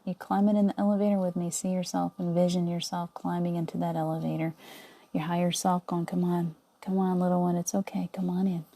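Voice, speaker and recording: A middle-aged woman leading a guided visualization meditation in a soothing, coaxing way, often dropping to a soft whisper. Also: lowish voice, vocal fry.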